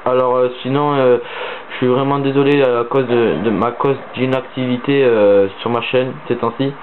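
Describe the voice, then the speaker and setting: A man's voice: medium pitch, steady tone, normal speed. A teenage boy with a cold and a stuffy nose, rambling casually with many hesitations as a gaming channel host. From southern France. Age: teens. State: stuffy-nosed from a cold, sniffling. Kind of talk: casual gaming commentary voice-over. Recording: tinny phone-like mic with faint hiss.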